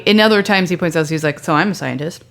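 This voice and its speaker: A woman's voice: fairly low pitch, fast, creaky, fairly bright tone. A woman from Central California co-hosting a casual late-night podcast, tipsy, wry and blunt. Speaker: podcast co-host.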